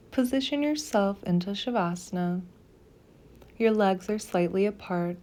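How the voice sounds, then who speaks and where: Slow, soft, mellow-voiced woman guiding meditation. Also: medium pitch. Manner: soft, gentle, deliberate phrasing with pauses. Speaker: meditation guide. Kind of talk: guided meditation, scripted soothing instruction.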